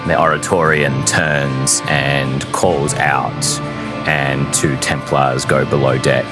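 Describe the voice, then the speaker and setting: A man's voice: extremely low pitch, level tone, takes it slowly, loud music behind the voice. A man narrating a fantasy tabletop game as game master, in a descriptive storytelling style.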